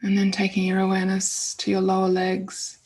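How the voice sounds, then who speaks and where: A woman leading an informal guided meditation in a soothing, unhurried way, breathy and often trailing off toward a whisper. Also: medium pitch, monotone, darkish tone, poor audio.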